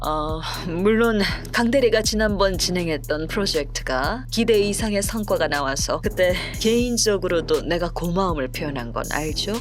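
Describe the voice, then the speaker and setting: A woman playing a stern, principled company chief in a Korean audio drama, measured and authoritative. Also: lowish voice, lively intonation, close to an everyday voice. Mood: stern, disappointed. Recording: background music under the voice.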